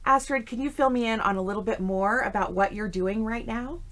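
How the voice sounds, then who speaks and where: A woman's voice: a little high, a little fast, fairly bright tone. A woman hosting an interview podcast, asking questions in a friendly, affirming way. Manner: friendly, affirming, frequent "sure". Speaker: podcast host.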